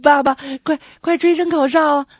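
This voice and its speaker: Woman playing an excitable, high-pitched little boy. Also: normal speed, very noisy, close to an everyday voice. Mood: excited urging. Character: excitable cheeky little boy in a radio joke. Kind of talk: comic radio joke acting.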